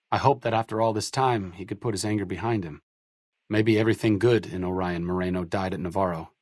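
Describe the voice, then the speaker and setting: A man's voice: on the low side, dry recording, lightly acted. Man acting as dry, wry wasteland doctor, close-miked. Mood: earnest, sober. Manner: dry, articulate, sardonic, self-deprecating. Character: wry, educated, idealistic doctor-researcher in a post-apocalyptic wasteland. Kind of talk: video game companion dialogue.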